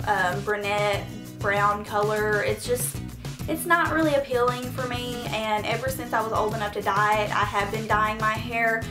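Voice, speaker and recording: A talkative young woman with a Tennessee Southern accent answers viewer questions in a relaxed vlog. Her voice sits a little high. The room is echoey, and music plays behind her. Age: 20s. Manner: chatty, earnest, frequent "um" and "like". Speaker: beauty vlogger. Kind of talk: casual Q&A vlog.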